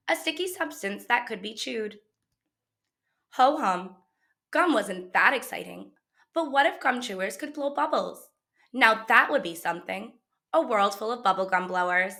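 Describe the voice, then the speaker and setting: A woman reading a children's picture book aloud as a teacher would, in an expressive storytelling manner. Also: fairly high pitch.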